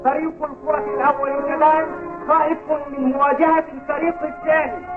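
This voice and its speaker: A touchy, jeering cartoon fellow, pitched extremely high. He sounds indignant, on a thin, phone-like soundtrack. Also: flat delivery, fast. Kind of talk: Arabic cartoon dubbing. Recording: tinny, phone-like old TV dub audio.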